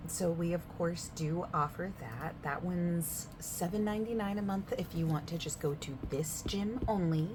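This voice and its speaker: A woman in a soft-spoken roleplay as a gym receptionist, overexplaining the options in a chatty way and sometimes dropping to a whisper. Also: lowish voice, background noise. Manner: chatty, overexplaining, with filler words; drifts between soft speech and whispers.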